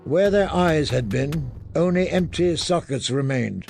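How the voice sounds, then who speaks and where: A male audiobook narrator with a gravelly voice who reads at an unhurried pace. His delivery is grave and deliberate, with faint music underneath. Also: fairly high pitch, lively intonation, darkish tone. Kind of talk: scripted fantasy narration.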